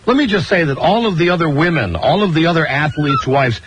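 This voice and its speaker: A man's voice: a little high, very animated, brisk, fairly bright tone, breathy edge. A man hosting a talk radio show, chatting casually in a crude, joking way. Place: radio studio. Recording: hissy radio broadcast recording.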